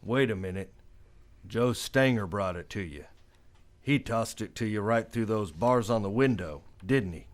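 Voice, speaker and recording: A man acts a stern lawman in an old-style western radio drama. His American voice is on the low side. He speaks tersely and dryly, with weary authority. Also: a little slow, lightly acted. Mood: commanding. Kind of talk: old-time western radio play acting.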